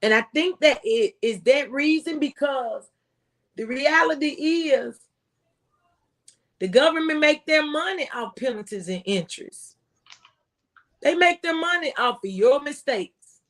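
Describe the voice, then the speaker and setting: A woman's voice: high-pitched, unhurried, pauses often. An American woman speaking as a former tax agent, giving folksy, emphatic advice and repeating phrases for stress. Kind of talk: impassioned conversational tax advice.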